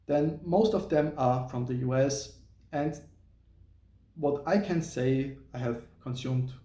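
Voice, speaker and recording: A man's voice: mid-range voice, dark tone. German-accented Austrian man, roomy distant sound. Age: 30s. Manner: careful non-native phrasing with pauses and restarts. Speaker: channel host, software engineer. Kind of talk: casual talking-head vlog.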